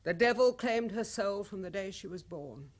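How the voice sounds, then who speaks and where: An actress playing a stern, devout old grandmother in a 1970s radio drama, speaking gravely and imperiously in measured theatrical phrases. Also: mid-range voice, expressive, natural voice. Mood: grave, foreboding. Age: older.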